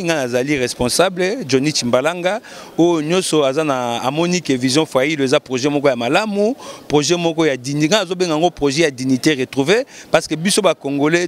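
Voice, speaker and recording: A man's voice: a little high, a little fast. A middle-aged man, a local political organizer, speaks earnestly in a street interview, rallying listeners and repeating key phrases. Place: outdoors at night in a lit pedestrian square. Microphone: handheld mic held by interviewer.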